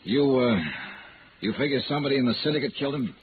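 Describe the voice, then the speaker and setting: A man's voice: mid-range voice, quick pace, background noise, close to an everyday voice. An actor playing a shrewd insurance investigator in a 1950s radio drama, clipped and dry as he probes and narrates. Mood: probing. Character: shrewd male insurance investigator, dryly pressing for answers. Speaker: lead detective and first-person narrator. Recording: muffled old radio broadcast, music behind.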